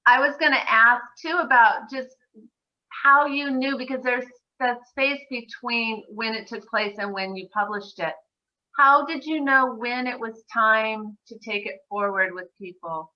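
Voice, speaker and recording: A woman's voice: fairly high pitch, unhurried, muffled recording. A woman bookseller co-hosting an event, asking a guest author thoughtful questions over a video call. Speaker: bookseller co-host.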